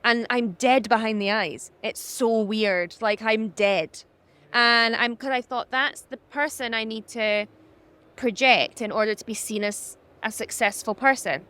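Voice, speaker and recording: A young woman with a high-pitched voice, talking candidly as a podcast guest, animated and laughing often. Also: measured pace, smooth. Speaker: podcast guest, social media business owner. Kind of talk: candid conversational interview.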